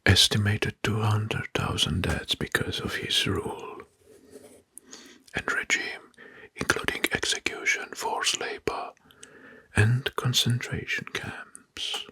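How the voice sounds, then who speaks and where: Slow, whispering man doing ASMR narration. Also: fairly low pitch, no echo. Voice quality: breathy, hushed whisper. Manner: slow, deliberate, repeats words softly.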